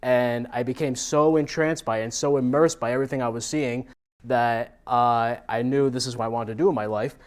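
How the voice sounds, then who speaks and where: An American man from New Jersey giving an informal talk as a guest speaker, telling stories with self-deprecating animation. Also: medium pitch. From New Jersey, United States. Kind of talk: informal autobiographical talk to a meetup audience.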